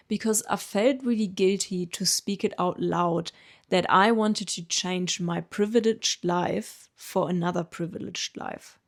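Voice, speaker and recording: A German woman in her late twenties, a native German speaker with a German accent, calmly telling her own story in an earnest, reflective vlog. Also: lowish voice, gravelly. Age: late 20s. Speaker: vlogger. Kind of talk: reflective personal narration.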